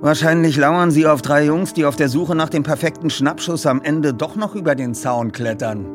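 A man's voice: fairly high pitch, moderate pace, over music, voice pushed up, natural voice. A man voicing a wry, teasing teenage boy detective in an audiobook.

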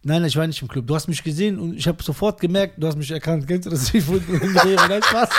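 Brief: man in his thirties, fast high animated comedian, laughing storyteller. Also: noisy background. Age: 30s. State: sore throat. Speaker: guest comedian. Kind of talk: casual podcast banter.

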